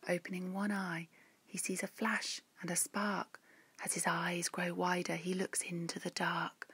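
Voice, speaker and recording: A woman reading her own rhyming children's story in a gentle, measured, expressive way. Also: on the low side, husky.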